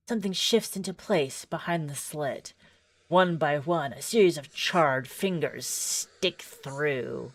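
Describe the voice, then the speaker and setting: Low-voiced woman, slow and unhurried. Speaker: streamer playing visual novel demos. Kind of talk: casual livestream commentary mixed with dramatic read-aloud narration.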